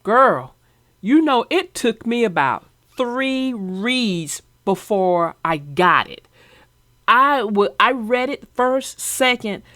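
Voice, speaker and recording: A woman with a fairly high voice talks casually as the host of a children's story channel. Her pitch moves around a lot and her delivery is expressive and conversational. The sound is close and dry, with hardly any room in it. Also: takes it slowly, dry recording. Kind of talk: casual chat about a children's book.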